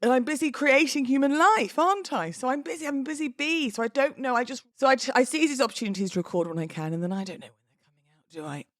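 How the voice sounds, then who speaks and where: A woman's voice: high voice, expressive, quick pace, no echo, clean studio sound. A British woman, likely in her thirties, hosting a casual solo podcast in a chatty, self-deprecating, playfully emphatic way. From United Kingdom. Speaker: podcast host; wedding celebrant.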